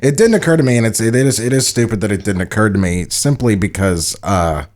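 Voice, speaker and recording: An American man co-hosting a podcast, chatting casually in an animated way and swearing freely. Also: on the low side, a little fast. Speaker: podcast co-host. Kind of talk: casual chat.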